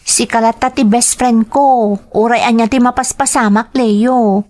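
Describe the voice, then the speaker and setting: A woman's voice: a little high, fairly even intonation, measured pace, darkish tone, close to an everyday voice. A woman playing an eager, determined young female graduate in an audio drama, speaking earnestly and expressively. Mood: reassuring, loyal. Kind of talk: dramatized family radio-style drama.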